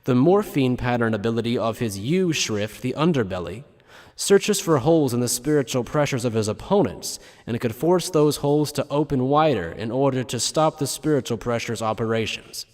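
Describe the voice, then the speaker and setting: A man's voice: mid-range voice, animated, moderate pace, darkish tone, in a large hall. A man reading scripted narration for an anime fan audio drama.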